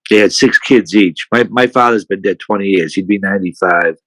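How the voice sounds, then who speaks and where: A man's voice: sits low, a little fast, fairly bright tone, no room sound, low-quality recording. An older man from the United States, a podcast guest who talks in a frank, blunt way and swears casually.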